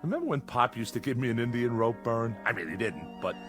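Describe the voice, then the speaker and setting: A man's voice: medium pitch, fast. An actor playing a boyish, nostalgic, restless married man in his late thirties, wistful and defensive, in a mid-century television drama. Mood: nostalgic, excited. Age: late 30s. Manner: excitable, wistful, defensive when nagged. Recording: vintage TV soundtrack with music.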